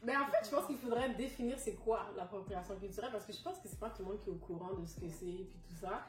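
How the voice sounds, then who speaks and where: A woman's voice: medium pitch, fast talker. A young woman, speaking frankly and emphatically as a guest in a casual panel discussion. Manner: frank, emphatic, mixes English words. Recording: echoey room, distant mic, soft music.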